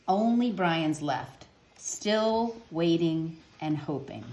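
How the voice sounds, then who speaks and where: A woman with a slightly low voice reads a picture book aloud for children. Her phrasing is clear and expressive, as in storytime. The sound is roomy, with noticeable echo around the voice. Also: slow pace.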